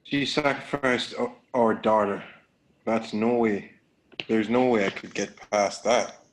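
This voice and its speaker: A man playing a grieving, protective father in a drama table read, serious and measured. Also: on the low side, steady tone, slight background noise, natural voice, poor audio.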